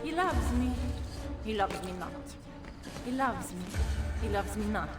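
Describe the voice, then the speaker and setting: A woman's voice: mid-range voice, expressive, measured pace, natural voice. Scheming Italian noblewoman played by a woman. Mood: musing. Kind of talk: video game voice acting. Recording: loud music bed behind.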